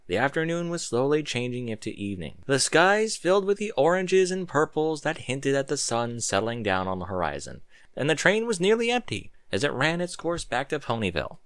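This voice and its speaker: Male narrator for fan fiction: animated pitch, forward bright tone, sardonic storyteller, dry close recording. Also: fairly quick, no echo. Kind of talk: dramatic storybook narration with casual sarcastic asides.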